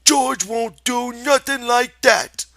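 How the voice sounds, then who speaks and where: A man voicing a simple-minded giant farmhand who pleads in a high, strained wail with a rural drawl. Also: steady tone, takes it slowly, gravelly, bright, forceful tone, no room sound, clean studio sound, heavily acted. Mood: frantic.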